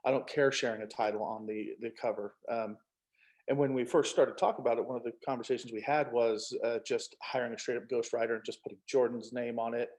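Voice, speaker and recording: Male writer in casual conversation, mid-pitched, slipping into quoted dialogue as he tells anecdotes. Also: fairly even intonation, fast, a little mellow. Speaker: author, co-writer of a novel.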